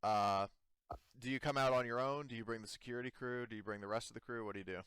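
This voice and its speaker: A man running a tabletop roleplaying game, narrating scenes off the cuff in an informal, halting way. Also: medium pitch, steady tone, fairly bright tone, smooth, no room sound. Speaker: game master.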